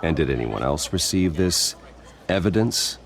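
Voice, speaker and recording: An American man playing a brooding billionaire heir in a video game, speaking to the press in a measured, halting, earnest way. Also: sits low, big pitch swings, gravelly, crowd noise, full character voice. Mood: guarded. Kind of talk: video game dramatic acting.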